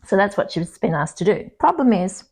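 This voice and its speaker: A woman author talking casually in an interview, chatty with quick restarts and easy laughter. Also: mid-range voice, husky. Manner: reads her own prose aloud with lively expression. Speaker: author and podcast guest. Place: home near trees with birds outside.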